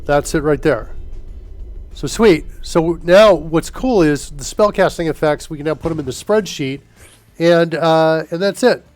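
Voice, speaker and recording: A man's voice: fairly high pitch, a little slow, a little mellow, soft background music. A man with a stuffy nose hosting a live coding stream, chatting loosely with viewers and using many fillers as he works. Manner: loose and filler-heavy, answers chat as he works. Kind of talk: casual live-stream chat while coding.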